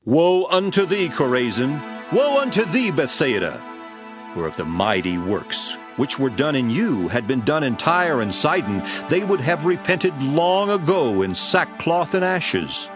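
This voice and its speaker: Jesus, solemn over music. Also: male voice, a little high, very animated. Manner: solemn measured delivery, rising to intense exclamations. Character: Jesus, calm grown man teacher, solemn and gentle. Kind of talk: dramatized Bible reading. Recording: studio voice with music beneath.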